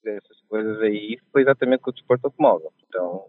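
A man from Portugal with a Portuguese accent softened by Brazilian speech, telling stories with a laugh as a podcast guest. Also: lowish voice, quick pace, no room sound. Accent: Portuguese, softened by years in Brazil. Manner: chatty, laughs at his own mishaps. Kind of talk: casual storytelling. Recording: tinny phone line.

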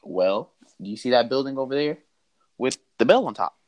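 A man's voice: mid-range voice, expressive, moderate pace, darkish tone, voice pushed up, natural voice. A man telling a story voices a knowing homeless man who talks in cryptic, repeated, reassuring phrases. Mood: instructive.

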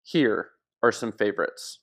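A man's voice: fairly low pitch, lively intonation, a little slow. Man reading an essay aloud. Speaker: article narrator. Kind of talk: scripted narration of an essay.

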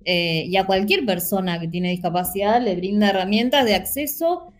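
A woman's voice: mid-range voice, normal speed, a little hiss or hum. An Argentine woman with a Rioplatense accent, speaking earnestly in a casual panel discussion with frequent fillers. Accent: Rioplatense Spanish. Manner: earnest, animated, many fillers.

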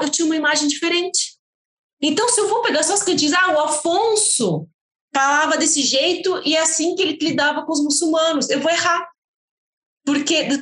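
A woman's voice: high voice, a bit bright. Woman rambling in an echoey room. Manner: rambling with many restarts and fillers. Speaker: guest historian. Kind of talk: casual interview talk.

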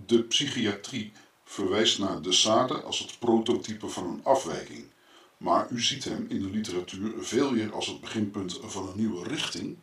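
In an echoing room, an erudite male moderator, acted by a man leads a 1960s literary radio discussion. His voice is low and his phrasing is formal. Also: a bit bright, natural voice. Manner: formal, measured wording. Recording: roomy, echoing sound.

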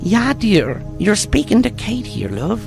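A composed female police detective as a man puts her on in a narrated crime story. The voice is lifted high, brisk and motherly in manner, with music clearly behind it. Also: wildly swooping pitch, exaggerated voice. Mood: friendly, pretending. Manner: brisk, calls people love and dear.

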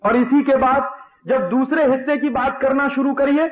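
A man's voice: extremely high pitch, steady tone, moderate pace. A man from India giving an impassioned lecture on public affairs, speaking emphatically with repetition and rhetorical questions, on a lo-fi recording. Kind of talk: impassioned political-economic lecture. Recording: dull lo-fi radio-like audio.

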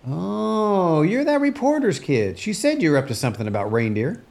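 A man's voice: fairly high pitch, big pitch swings, moderate pace, gravelly, darkish tone. Casting: kindly country neighbor for family radio drama, a male actor, easygoing and folksy, with widely swinging intonation. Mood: curious, amazed. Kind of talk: radio play acting.

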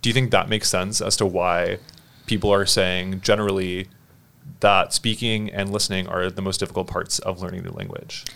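A man hosting a podcast with a very low voice. He speaks in a measured, thoughtful way and asks his guests reflective questions. He also introduces the segments of the show. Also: little pitch movement, gravelly, a bit bright, clean tone. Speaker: podcast host.

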